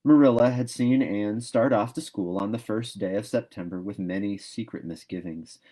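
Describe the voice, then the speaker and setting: A man reading a novel aloud as expressive storybook narration. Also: lowish voice, normal speed.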